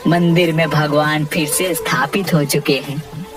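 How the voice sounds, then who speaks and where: A woman's voice: low voice, steady tone, unhurried, recorded from a distance, music in the background. A woman voicing a stern, righteous male village elder in a cartoon moral tale, solemn and admonishing.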